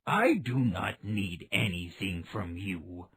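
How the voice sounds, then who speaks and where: Kind simple old fisherman in a storybook, man doing a bit of a voice, gentle and slow. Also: low-pitched, expressive, a little hiss or hum, exaggerated voice. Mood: mild.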